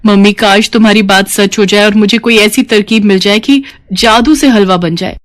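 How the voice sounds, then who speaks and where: A woman's voice: medium pitch, steady tone, moderate pace, natural voice. Woman as a young bride-to-be, kind, earnest, polite, storybook character. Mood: wishful.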